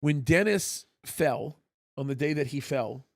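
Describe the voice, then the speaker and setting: A man's voice: animated, a little slow, no echo, studio quality. A man hosting a live talk show as a pastor, arguing his points emphatically and often repeating words as he starts a thought. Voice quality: high-pitched voice.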